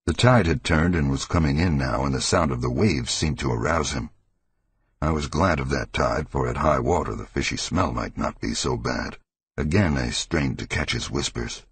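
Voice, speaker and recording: A man reading a horror story as an audiobook narrator, in a measured, formal literary manner. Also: very deep voice, breathy edge, dry recording.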